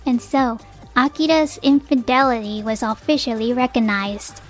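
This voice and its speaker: Lady voice acting determined young mom telling her divorce story, earnest manga dub narration, soft music behind. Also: a little high, moderate pace, natural voice. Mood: relieved, reflective. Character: wronged young mother, resolute wife divorcing her cheating husband. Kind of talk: scripted first-person narration, manga dub.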